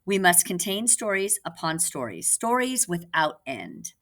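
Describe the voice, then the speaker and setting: A woman's voice: on the low side, big pitch swings, measured pace, a bit bright, full character voice. A woman voicing a thoughtful boy puppet in a storybook, emphatic, earnest and full of wonder. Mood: earnest conviction.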